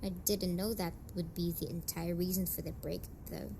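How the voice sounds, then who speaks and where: A young woman playing a blunt, defensive tsundere delinquent girlfriend in an amateur romantic audio roleplay, speaking haltingly and swearing. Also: on the low side, flat delivery, gravelly, mellow, a little hiss or hum. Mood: candid, bitter. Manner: hesitant, halting, foul-mouthed, defensive.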